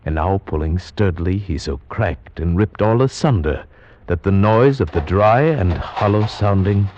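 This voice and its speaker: An actor playing an anxious gentleman narrator in a formal, theatrical manner of 1940s radio horror. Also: deep voice, lively intonation, a little hiss or hum. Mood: measured storytelling. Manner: formal, theatrical, deliberate storytelling. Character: anxious gentleman narrator, houseguest reading an old tale aloud. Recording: dull vintage radio recording with music.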